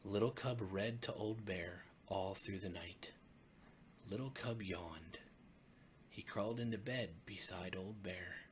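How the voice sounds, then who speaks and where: A man, a pastor, narrating a bedtime story for children in a gentle, expressive way with deliberate phrasing. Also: sits low, a little hiss or hum.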